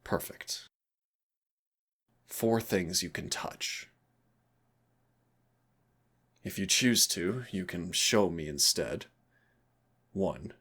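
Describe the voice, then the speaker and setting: A winged demon of royal blood, acted by a man, speaks unhurriedly in a very deep register. The voice is thick with gravel and intimate, made for audio roleplay. He comes across as proud, teasing and theatrical. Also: takes it slowly, long pauses, pushed and bright, full character voice. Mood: soothing, gently teasing. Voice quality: gravelly, husky, breathy low voice. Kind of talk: audio roleplay acting addressed to the listener.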